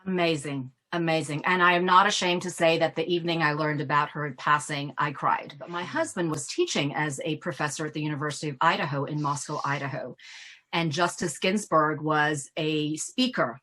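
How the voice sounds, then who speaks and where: A middle-aged woman from Ghana, an immigration attorney answering questions in an earnest, thoughtful way with frequent pauses. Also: low voice, fairly even intonation, sharp tone. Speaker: immigration attorney, guest speaker. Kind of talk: Q&A conversation.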